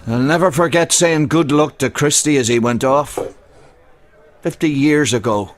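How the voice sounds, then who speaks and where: A man's voice: on the high side, a little slow, close to an everyday voice. A man playing an old, wistful pub drinker. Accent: Northern Irish. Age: older. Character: old male drinker in a pub, haunted by the past.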